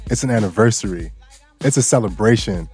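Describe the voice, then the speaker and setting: Mellow-voiced man narrating a news podcast. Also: on the low side, brisk, faint music behind. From Bangkok, Thailand. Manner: relaxed, personal, with light joking. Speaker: guest host and audio engineer.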